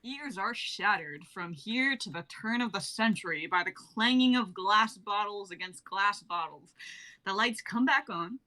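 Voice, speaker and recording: A young woman narrates a scripted comedy sketch, reading out the stage directions. Her voice is bright and forward, at a middling pitch. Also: expressive, a little slow, poor audio. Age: young adult.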